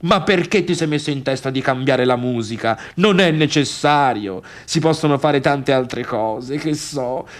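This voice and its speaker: An actor playing an agitated, obsessive misfit in a radio drama monologue, speaking theatrically with halting repetitions. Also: male voice, medium pitch, lightly acted. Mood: exasperated.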